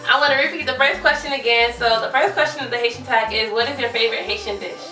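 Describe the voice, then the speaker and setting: A young woman hosting a casual chat with friends, upbeat and quick to laugh. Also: fairly high pitch, sharp tone. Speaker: host. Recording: echoey room, mic a bit far, music behind.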